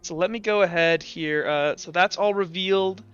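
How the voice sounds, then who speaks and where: A man's voice: high-pitched, moderate pace, fairly bright tone, smooth. A man running an online tabletop roleplaying game as game master, chatting and explaining things informally. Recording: roomy echo, dull lo-fi sound. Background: music.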